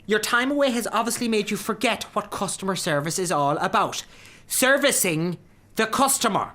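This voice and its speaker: A male Irish shop boss in a radio drama. He is patronising and overbearing, lecturing with swooping, lively intonation. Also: high voice, normal speed. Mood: patronising, stern. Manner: condescending, lecturing.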